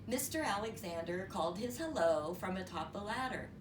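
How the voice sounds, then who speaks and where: A woman reading a story aloud in an expressive, explanatory way, as if to young listeners. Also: medium pitch, moderate pace. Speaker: storyteller reading a picture book. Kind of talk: read-aloud story narration. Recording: roomy, distant mic, noisy.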